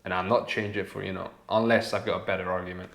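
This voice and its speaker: A man's voice: deep voice, flat delivery, fast talker, gravelly, a bit bright. A man hosting a casual podcast conversation in a chatty, jokey way, often acting out imagined quotes. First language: Hungarian and Romanian. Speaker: podcast host. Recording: roomy, echoey sound.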